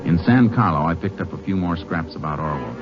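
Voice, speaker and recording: Deep-voiced laconic cowboy lawman. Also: animated, brisk. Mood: terse, determined. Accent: American. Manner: folksy, terse cowboy talk. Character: laconic Texas Ranger, shrewd, steady lawman posing as drifter. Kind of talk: old-time radio western acting and narration. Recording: muffled vintage radio recording, music behind.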